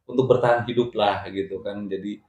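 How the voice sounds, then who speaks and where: A man's voice: lowish voice, fairly even intonation, a little fast, darkish tone. A middle-aged man telling his life story in casual, colloquial chat, often repeating his phrases. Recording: echoey room.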